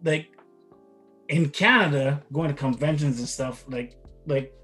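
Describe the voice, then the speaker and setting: A man's voice: fairly high pitch, unhurried, bright, forceful tone, soft background music. A middle-aged man from Barbados, a guest father chatting casually in an interview, rambling with frequent restarts and repeated words.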